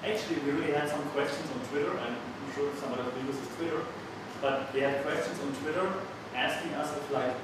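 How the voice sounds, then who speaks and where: A man's voice: on the high side, steady tone, fast talker. A man hosting a casual group discussion, upbeat and joking as he leads the talk. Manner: upbeat and joking, laughs often. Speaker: host. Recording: echoey room, distant mic, loud noise.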